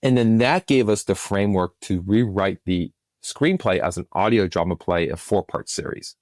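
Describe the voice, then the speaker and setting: Guy with a lowish voice doing an interview, explaining stuff, restarts his sentences a bit, pretty dry sound. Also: moderate pace, a little mellow, no room sound. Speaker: producer, interview guest.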